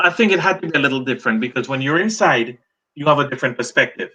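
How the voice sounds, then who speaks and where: A middle-aged Trinidadian man, a journalist and author, answering interview questions as a lively storyteller. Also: mid-range voice, poor audio. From Trinidad and Tobago.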